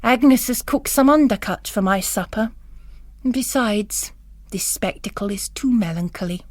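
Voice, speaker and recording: Lady doing a tired gentle priest guy, formal and dryly gloomy, audiobook, slow pace. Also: medium pitch, dry recording, close to an everyday voice. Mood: weary, dry.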